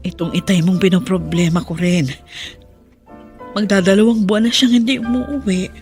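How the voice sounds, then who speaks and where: A woman's voice: mid-range voice, steady tone, moderate pace, darkish tone, lightly acted. A woman playing a weary, forgiving, worried mother in Filipino radio drama, sighing and emotional. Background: music under the voice.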